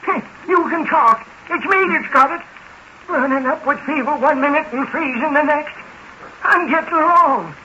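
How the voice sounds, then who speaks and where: An actor playing a sick, miserly old farmer with a rural American accent, querulous and demanding, in 1940s radio drama style. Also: male voice, very high voice, normal speed, bright, forceful tone, full character voice. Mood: irritable, fretful. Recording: muffled, hissy 1940s radio recording.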